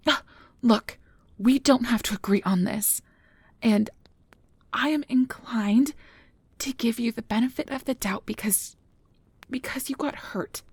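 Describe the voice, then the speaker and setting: A woman playing a nervous, earnest, principled field researcher of magical creatures in an ASMR audio drama, soft and hesitant with stammers, close to the mic. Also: on the high side, measured pace, long pauses, dry recording. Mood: hurt, conciliatory. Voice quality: breathy, soft. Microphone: close mic, dry recording.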